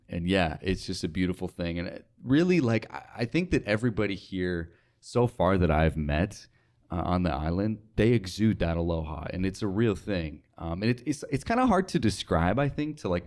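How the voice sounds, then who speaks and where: Very deep-voiced young American man, casually reflective. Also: animated, moderate pace, darkish tone. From North Dakota, United States. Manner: informal, reflective, earnest, with frequent "like". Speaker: interview guest, radio sales associate. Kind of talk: casual conversational interview.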